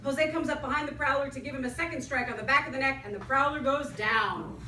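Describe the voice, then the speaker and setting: High, bright woman narrator. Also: fast talker. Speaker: narrator of stage directions. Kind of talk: scripted narration at live staged reading. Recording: distant echoey live stage, faint noise.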